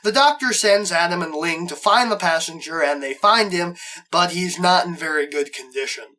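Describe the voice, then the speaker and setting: A man's voice: high voice, normal speed, sharp tone. A man narrating a scripted plot recap as host of a review show.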